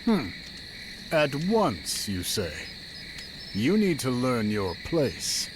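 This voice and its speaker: An actor playing a cold, cruel and imperious warlord in a video game, speaking in a measured, commanding, menacing way. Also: male voice, mid-range voice, wildly swooping pitch, background noise, full character voice. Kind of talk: dramatic video game acting.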